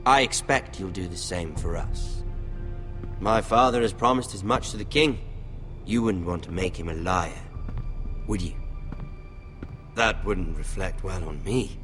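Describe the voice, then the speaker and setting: An actor playing a sadistic young bastard lord in a fantasy game, with a mocking, theatrical, toying manner. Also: male voice, deep voice, measured pace, long pauses, over music. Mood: toying menace.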